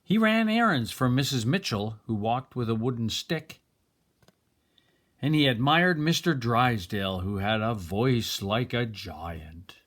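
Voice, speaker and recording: A man reading a children's story aloud in an expressive narrating style. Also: medium pitch, measured pace. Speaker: storybook reader.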